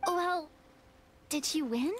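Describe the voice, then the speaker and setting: A woman's voice: sits high, slow. A woman voicing a small childlike fairy companion in a video game, blunt, exclamatory and incredulous. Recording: game music behind.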